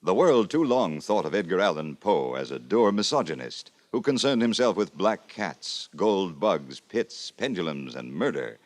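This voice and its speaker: A man giving a formal, measured and dramatic scripted introduction as an old-time radio announcer. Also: deep voice, big pitch swings.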